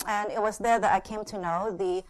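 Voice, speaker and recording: A middle-aged woman from Indonesia gives a church testimony at an unhurried pace. She talks in short phrases broken by pauses, "uh" and "yeah", and laughs lightly now and then. Her voice sits at a middling pitch. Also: steady tone, poor audio. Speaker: guest speaker sharing her testimony. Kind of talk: church testimony with consecutive interpretation.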